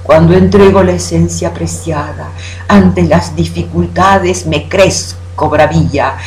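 A woman declaiming a poem in an expressive, deliberate manner with dramatic pauses. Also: fairly low pitch. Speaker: poetry reciter. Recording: roomy echo with background hum.

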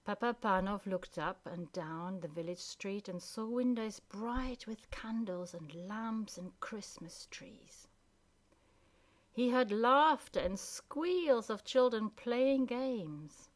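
A woman narrating a children's story as scripted reading, with deliberate pauses between phrases. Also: mid-range voice, lively intonation.

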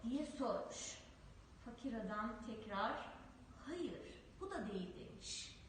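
A preschool teacher reading a folk tale aloud to children in a slow, expressive storytelling manner, recorded in a very echoey room with the voice off-mic. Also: female voice, fairly high pitch, far from the mic, slight background noise.

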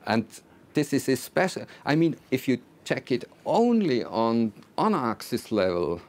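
A man in his sixties presenting technical material to a small group, phrasing deliberately with repeated words and hesitations. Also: mid-range voice, big pitch swings, darkish tone. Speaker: loudspeaker designer presenting. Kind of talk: technical talk to a small audience. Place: small recording studio control room with seated audience.

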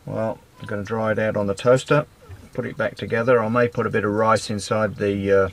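A man's voice: fairly low pitch, fairly even intonation, fairly quick. A man in his fifties with an Australian accent, chatting casually to his own camera with lots of "uh" fillers. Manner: rambling, many "uh" fillers, laid-back. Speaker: sailing vlogger. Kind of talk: casual self-filmed commentary. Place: outdoors on a windy beach and on a small sailboat. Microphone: camera mic, handheld or mounted.

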